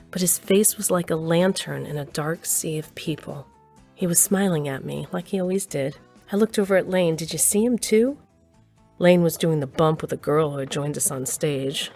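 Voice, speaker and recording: A woman's voice: on the low side, darkish tone, natural voice. An actress playing a nostalgic woman scientist who narrates an audio drama in a dramatic first-person storytelling manner. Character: woman scientist Grace, wife and mother, nostalgic ex-rocker.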